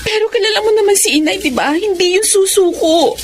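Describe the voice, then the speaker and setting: A young Filipina torn in love, sad and resigned. Her voice is very high, in the manner of radio drama. There is slight noise in the background. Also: fairly quick.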